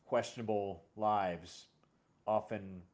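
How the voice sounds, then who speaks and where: A man's voice: on the low side, fairly even intonation, slow pace, darkish tone. A man hosting a casual conversation about music, talking in a rambling, self-correcting way. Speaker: host.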